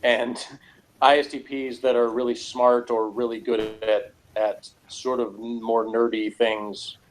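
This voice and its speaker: A man's voice: mid-range voice, level tone, slow, low-quality recording. A man talking casually in a loose, rambling discussion, feeling his way through his points hesitantly. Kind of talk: casual discussion of TV and personality types.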